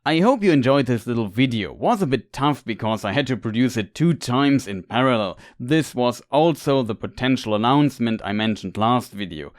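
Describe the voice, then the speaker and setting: A man's voice: medium pitch, lively intonation, moderate pace, few pauses, no room sound, studio quality. Man explaining fantasy lore. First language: German. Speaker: lore video creator. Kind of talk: scripted lore narration.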